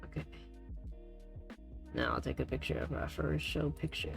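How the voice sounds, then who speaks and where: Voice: medium pitch, very animated, normal speed, gravelly. A tutorial host talking casually and thinking aloud, a little unsure, while working through the steps live. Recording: music playing behind.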